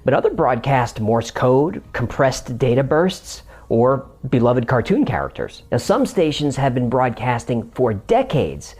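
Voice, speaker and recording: A man's voice: medium pitch, sing-song, a little slow, few pauses, darkish tone, husky. A man hosting a scripted explainer, narrating it in a conversational way with room for comic banter. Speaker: host.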